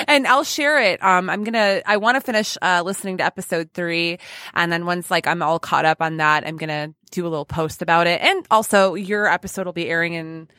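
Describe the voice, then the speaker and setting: A woman's voice: lowish voice, brisk, sharp tone, no echo, studio quality. A woman hosting a podcast in casual interview chat, enthusiastic and effusive, often giggly. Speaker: podcast host.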